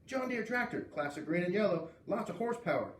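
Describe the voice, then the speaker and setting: Storybook schoolboy, done by a man: high, eager, clipped, absorbed in his own facts; distant mic, faint noise. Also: expressive, fairly quick, reverberant. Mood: absorbed, excited.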